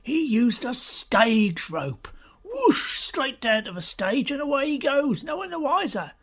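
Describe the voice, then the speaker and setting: Casting: actor as chatty male backstage witness, rambling and theatrical, slow storytelling with lively swings of pitch. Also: full character voice. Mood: excited, eager. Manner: rambling, gossipy, colloquial, theatrical. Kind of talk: stage-style radio murder mystery acting.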